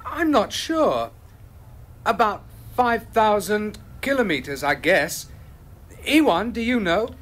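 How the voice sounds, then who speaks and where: A man's voice: high-pitched, sing-song, slow, a bit bright, full character voice. An actor playing an easygoing young male engineering student in a scripted lesson dialogue, speaking in a casual, slightly unsure way.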